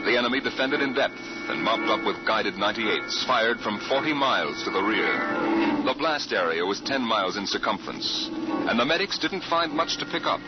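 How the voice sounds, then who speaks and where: A man's voice: lowish voice, natural voice. A man announcing and narrating a science fiction radio drama in a solemn, portentous 1950s style. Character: grave male announcer narrating science fiction war tale. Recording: dull old radio recording, loud music.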